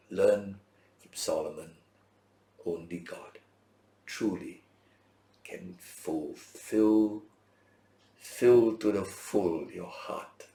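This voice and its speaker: A man preaching a Bible lesson as a teacher, in emphatic short phrases with rhetorical questions and a gravelly voice. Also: on the low side, a little mellow. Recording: roomy, echoing sound.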